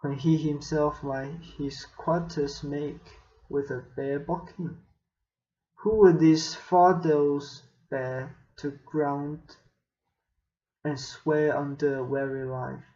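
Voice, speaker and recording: Male actor as melancholy Shakespearean prince, pensive soliloquy, slow measured delivery, dull lo-fi recording. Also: fairly high pitch, steady tone, dark tone. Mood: brooding.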